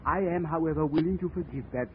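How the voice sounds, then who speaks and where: An actor playing a smug, crazy murderer with a pompous, wordy, formal manner in 1940s radio drama. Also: male voice, fairly high pitch, fast, close to an everyday voice. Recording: tinny, hissy 1940s radio recording.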